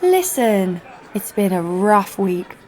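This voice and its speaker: A woman's voice: mid-range voice, sing-song, takes it slowly, darkish tone, a faint crowd. A woman playing a cocky, foul-mouthed adventurer, narrating and speaking in an audio drama with a sardonic manner. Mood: irritated, brazen. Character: brash, sarcastic, foul-mouthed female adventurer narrating her exploits. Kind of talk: first-person audio drama narration and dialogue.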